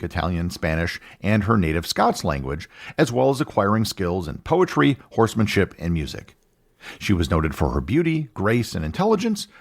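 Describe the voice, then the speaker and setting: Guy reading a history podcast script, pitch swings all over the place, measured delivery. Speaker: podcast host.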